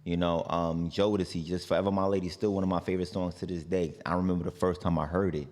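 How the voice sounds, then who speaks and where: A man with a very deep voice and a New York accent chats through his story in a relaxed, rambling way. He leans on fillers and trails off between thoughts. The sound carries a long hall reverb. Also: quick pace. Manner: relaxed, rambling, lots of "like" and "you know". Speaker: guest, singer and artist. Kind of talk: casual interview chat.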